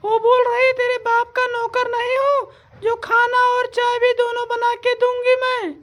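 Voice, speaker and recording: A woman's voice: extremely high pitch, completely flat delivery, measured pace. A woman playing a bossy older village mother-in-law who nags and insults her daughter-in-law in a comic sketch. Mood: nagging. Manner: nagging, insulting, commanding. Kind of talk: comic family sketch acting. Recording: loud backing music.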